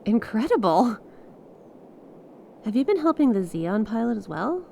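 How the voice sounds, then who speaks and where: Woman acting a slow-paced, earnest stranded star pilot. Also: on the high side, a little hiss or hum, exaggerated voice. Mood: amazed, delighted. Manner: expressive, halting, conversational, with small laughs and breathy exclamations. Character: stranded young female star scout pilot, awestruck and earnest. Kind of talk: ASMR audio roleplay, monologue to a listener.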